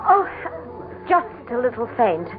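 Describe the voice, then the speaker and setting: Through a thin, phone-like old radio recording comes a haunted society widow. Her voice is fairly high and breathless, faltering with melodramatic anxiety as she pleads and schemes. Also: very animated, measured pace, music in the background. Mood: guarded, faint. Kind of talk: old radio drama acting.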